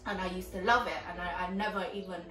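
A woman's voice: fairly low pitch, steady tone, fast talker, sharp tone. A young woman from Vietnam co-hosting a casual chat, talking with lots of fillers like um and like. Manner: conversational, many fillers like "um" and "like". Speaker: co-host. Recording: roomy sound, mic at a distance, faint music.

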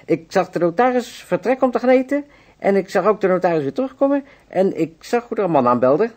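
An actor playing a timid, deferential office clerk in a radio play, hesitant and apologetic. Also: male voice, high voice, sing-song, fairly quick, a little mellow. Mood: nervous, eager.

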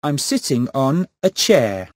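A man reading textbook answers slowly and clearly. His voice rises and falls a lot. The studio sound is dry. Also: medium pitch, sing-song, dry recording. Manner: slow, clear enunciation. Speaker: recorded model speaker.

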